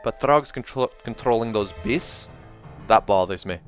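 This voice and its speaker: A man voicing a gruff, suspicious dwarf warrior in a storybook, terse and blunt, with a put-on accent. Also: fairly low pitch, a little slow, faint music behind, close to an everyday voice. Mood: suspicious, grumbling.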